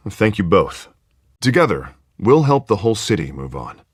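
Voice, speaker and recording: An actor playing an affable district attorney running for mayor in a video game, with glib, chummy patter. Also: male voice, fairly low pitch, big pitch swings, moderate pace, husky, no room sound, clean studio sound. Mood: upbeat persuasive. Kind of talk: video game dramatic acting.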